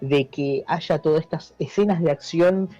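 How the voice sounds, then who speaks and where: A man's voice: on the high side, warm tone. A man with a Buenos Aires accent explaining things as an interview guest in casual talk, often repeating words and adding question tags. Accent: Argentine Spanish, Buenos Aires. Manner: halting, repeats words, seeks agreement with tags. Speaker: interview guest, history teacher. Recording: dull lo-fi, dry, music behind.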